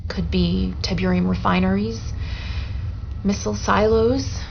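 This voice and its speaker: An actress playing a solemn research scientist who speaks gravely and deliberately, interviewed in a game cutscene. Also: female voice, medium pitch, fairly even intonation. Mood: grave, troubled. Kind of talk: dramatic acting as an interviewed expert. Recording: dull lo-fi audio, faint noise.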